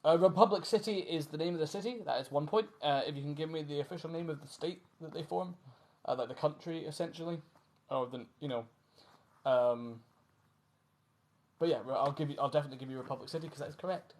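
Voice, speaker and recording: Quiz host: Scottish male, slightly high-pitched voice, informal live-stream delivery. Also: quick pace, darkish tone. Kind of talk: live-streamed fan quiz hosting, casual chat.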